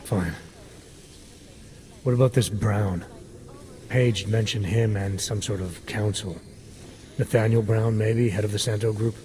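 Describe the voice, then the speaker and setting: An actor playing a gruff, stoic video game agent, terse and deadpan with a low, gravelly voice. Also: male voice, a little slow, pauses often, slight background noise, close to an everyday voice. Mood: stern, probing. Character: gruff, stoic augmented male agent, calm and wry. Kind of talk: cinematic video game acting. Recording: dramatic music behind the voice.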